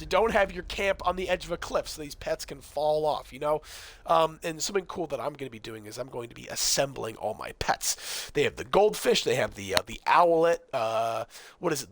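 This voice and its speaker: A young man runs through game tips in a loose, talkative way, speaking to his viewers and filling gaps with ums and uhs. His voice sits a little high for a man and is going hoarse. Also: lively intonation, moderate pace, fairly bright tone, no echo. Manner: chatty, addresses viewers directly, frequent fillers. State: losing his voice. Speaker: gaming video host. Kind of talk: casual game-tips commentary.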